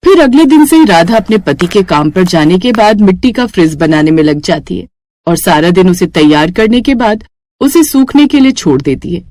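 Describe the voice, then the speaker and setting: A woman's voice: fairly low pitch, expressive, normal speed, mellow, no room sound. A woman storyteller reading a scripted moral story for children.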